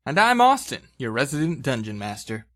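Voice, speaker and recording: A man's voice: mid-range voice, big pitch swings, brisk, fairly bright tone. A man speaking informally and conversationally as a podcast host and dungeon master. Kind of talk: casual banter and game recap.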